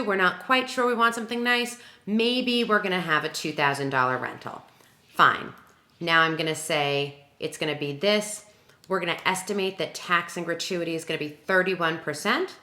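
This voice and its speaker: A woman with a slightly low, bright and forceful voice explains things like a professional planner teaching a client. She speaks conversationally but with emphasis, asking herself questions as she goes. The sound is roomy and echoing. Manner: emphatic, self-questioning, talks through examples aloud. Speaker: wedding planner presenting a tutorial. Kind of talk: instructional screen-share walkthrough, conversational.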